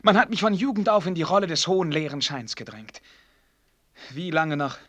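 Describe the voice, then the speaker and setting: An actor playing an earnest, sheltered young prince in a German radio drama, courtly and eager, with hesitant phrasing. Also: male voice, on the high side, moderate pace, a bit bright. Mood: earnest, pleading.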